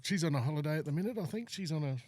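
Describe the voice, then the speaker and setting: A man hosts a relaxed podcast interview in a small studio. He talks in a friendly, chatty way and laughs off his own slips. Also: fairly high pitch, very fast. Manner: friendly, chatty, self-deprecating. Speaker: podcast host. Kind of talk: casual interview chat.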